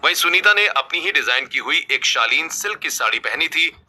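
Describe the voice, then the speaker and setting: A man's voice: on the high side, expressive, fairly quick, pushed and bright, slight background noise. A man reading scripted narration for a family drama story as its narrator.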